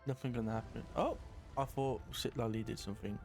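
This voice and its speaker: A man's voice: medium pitch, steady tone, brisk, a little mellow. A man giving casual, offhand commentary as he plays a video game. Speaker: gamer reacting to a game he plays. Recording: faint game music behind.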